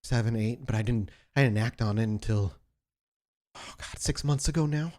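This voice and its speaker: A man voicing an obsessed young female copycat killer in a tabletop role-play, defiant and evasive, halting and repeating words. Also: medium pitch, darkish tone, natural voice. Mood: evasive, confessional.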